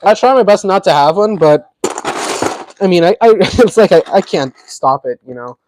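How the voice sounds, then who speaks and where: A man's voice: high voice, expressive, moderate pace, a little mellow, slight background noise, poor audio. Chatty man with a slight Russian accent. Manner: chatty, laughs often, answers chat comments. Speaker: livestream host. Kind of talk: casual livestream chat while building.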